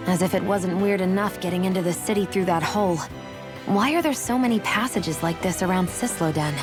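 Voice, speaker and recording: An actress playing a wry, questioning, skeptical adventurer in a video game. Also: female voice, lowish voice, fairly quick, music in the background, close to an everyday voice.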